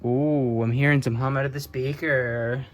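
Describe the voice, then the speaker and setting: A man's voice: medium pitch, steady tone, moderate pace. A man giving casual, unscripted commentary on his own hands-on repair, offhand and thinking aloud as he works. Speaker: hobbyist restorer presenting his own repair video.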